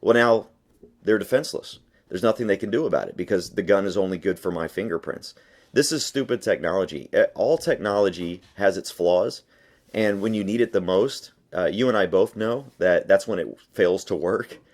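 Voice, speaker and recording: A man's voice: low voice, normal speed. A man being interviewed by phone, answering argumentatively in an emphatic, insistent manner. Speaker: interview guest, gun rights advocate. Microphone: phone call.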